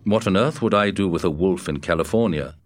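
A weary, level frontier master with a low, dry voice, sober and curt, as a male storyteller reads him. Close, unreverberant sound. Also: brisk, no room sound, lightly acted. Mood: exasperated. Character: weary, firm frontier master, grown man.

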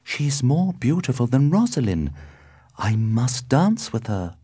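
A man's voice: on the high side, huge pitch swings, moderate pace, mellow, husky, no echo, studio quality, voice pushed up, full character voice. A man voicing a lovestruck, earnest young nobleman in a storybook, with an exclaiming manner. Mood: smitten, earnest.

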